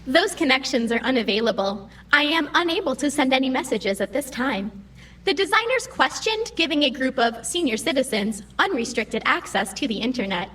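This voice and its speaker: A woman's voice: high-pitched, big pitch swings, normal speed, a bit bright, slight background noise, rough recording. A woman playing a timid, easily hurt computer program in an audio drama, speaking in formal, precise, literal phrases. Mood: flat, precise. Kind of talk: scripted audio drama acting.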